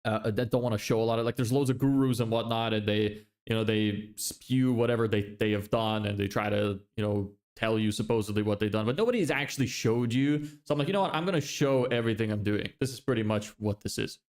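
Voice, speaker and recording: A young man from Lithuania who grew up in Ireland, chatting casually on a livestream, relaxed and rambling with many restarts. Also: on the low side, a little fast, hardly any pauses. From Lithuania, raised in Ireland. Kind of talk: casual livestream chat, reading chat.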